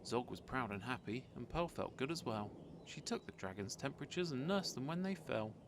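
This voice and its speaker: A man reading a rhyming children's story aloud as an expressive narrator. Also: mid-range voice, normal speed, background noise. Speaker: storybook reader.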